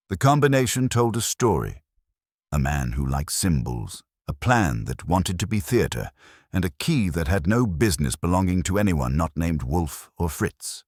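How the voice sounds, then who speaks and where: A man reading scripted detective fiction in a measured, wry first-person manner, as an audiobook narrator. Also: very deep voice, no echo, clean studio sound.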